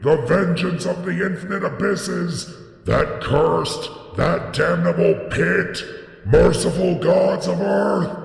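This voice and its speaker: A man voicing an arrogant, frenzied prophet, declaiming loudly in a gothic horror story. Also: high voice, takes it slowly, vocal fry, voice pushed up, exaggerated voice. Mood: screaming terror. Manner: grandiose, shouting, declaiming. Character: Barzai, arrogant old prophet crying out in mad ecstasy. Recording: echoey hall with long reverb.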